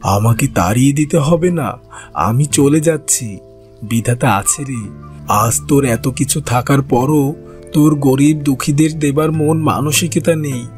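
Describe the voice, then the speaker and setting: A man's voice: medium pitch, a little slow, darkish tone, faint music behind, close to an everyday voice. A man voicing a solemn, vengeful old wandering holy beggar in a fairy-tale cartoon. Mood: bitter, cursing.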